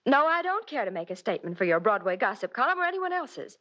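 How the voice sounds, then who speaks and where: Bright young wife, high lilting voice. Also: female voice, very animated, fast. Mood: polite, guarded. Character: sunny, cheerful young wife from small-town Iowa. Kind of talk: old radio soap opera acting. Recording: muffled old radio recording.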